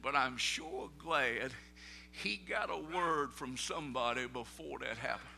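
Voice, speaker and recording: A middle-aged man with a Southern American accent preaching a sermon, telling folksy stories in plain vernacular and building up to loud preaching peaks. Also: mid-range voice, a little slow, creaky, slight background noise. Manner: folksy storytelling, rising to shouted preaching peaks.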